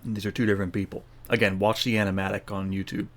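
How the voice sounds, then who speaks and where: A man's voice: on the low side, level tone, fast, fairly bright tone. A man giving casual, chatty commentary as he streams a game playthrough, in a laid-back manner. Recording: faint game sound behind voice.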